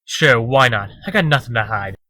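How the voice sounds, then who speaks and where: A man's voice: mid-range voice, expressive, moderate pace, sharp tone, no room sound. A man playing a cocky, defensive trickster under questioning, glib and self-justifying, in a comic dub. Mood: evasive. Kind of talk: comic dub dramatic acting.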